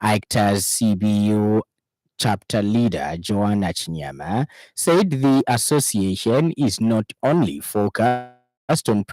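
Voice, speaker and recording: A Zambian man reads a radio news bulletin in a lowish voice. His delivery is formal and measured, and the studio sound is dry and close. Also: expressive, a little mellow, no echo, low-quality recording. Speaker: news reader.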